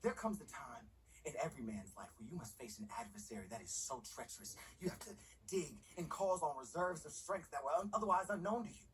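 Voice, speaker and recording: A man playing an excitable, scheming young friend who pitches grand plans theatrically in a video game cutscene. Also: fast talker, distant mic, a little hiss or hum, close to an everyday voice, rough recording. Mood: grandly dramatic. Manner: theatrical, excitable, stammering into emphasis.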